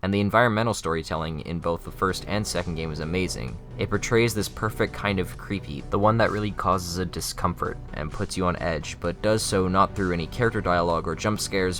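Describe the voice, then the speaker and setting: A man's voice: low voice, a little fast, hardly any pauses, fairly bright tone. A young man reading scripted narration as the presenter of a video essay. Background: faint music.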